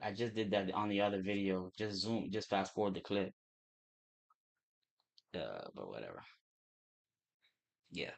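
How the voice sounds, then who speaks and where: A young man from Turks and Caicos with a mixed Caribbean and American accent, talking fast and casually on a trading livestream, with restarts and swearing. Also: deep voice, animated, creaky. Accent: Turks and Caicos Creole-English mix.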